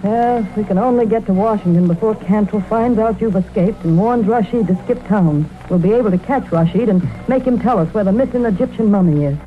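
A woman's voice: medium pitch, brisk, few pauses, slight background noise, lightly acted. Radio soap role: homely, helpful middle-aged mother played by a woman, plain talk, badly muffled vintage recording. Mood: earnest, reassuring. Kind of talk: old-time radio serial acting.

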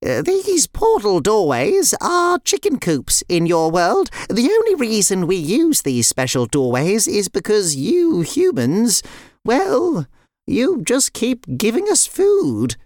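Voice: mid-range voice, huge pitch swings, takes it slowly, a little mellow, no echo, studio quality, voice pushed up. A storyteller voicing a fussy, chatty talking chicken that explains things matter-of-factly in a children's story. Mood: explaining. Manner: explanatory, with little hesitations.

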